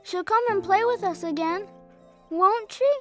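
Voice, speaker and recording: A woman's voice: extremely high pitch, a little slow. A woman voicing a loyal little boy in a video game, plain-spoken and stubbornly sincere. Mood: eager. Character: loyal, guileless little boy, the other twin. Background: loud game music.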